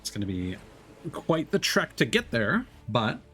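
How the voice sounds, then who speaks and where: A man's voice: mid-range voice, huge pitch swings, a little slow, fairly bright tone, soft background music. Man musing over a game. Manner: chatty, musing aloud, mild complaining. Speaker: streamer playing solo. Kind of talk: casual gameplay commentary.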